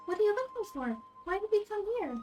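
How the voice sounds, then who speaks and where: A woman voicing a small, childlike fairy game companion who is excitable and nosy, with a squeaky high voice full of questions and exclamations. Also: brisk, faint music behind. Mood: excited, pressing. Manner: exclaiming, questioning, speaks of self in third person.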